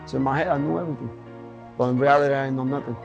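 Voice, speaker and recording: A man's voice: medium pitch, steady tone, racing, vocal fry, highs cut off, music in the background. A man from Puerto Rico, a boxer and gym owner, speaking earnestly and candidly in a documentary interview, often adding "you know what I mean".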